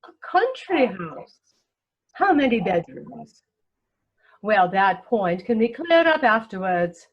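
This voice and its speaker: Haughty, slow-speaking aristocratic lady, acted by a woman. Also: medium pitch, sing-song, dull audio, a little hiss or hum. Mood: imperious, probing. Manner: imperious, pompous, deliberate enunciation. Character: haughty, snobbish aristocratic older lady interrogating a suitor. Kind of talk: comic stage play reading.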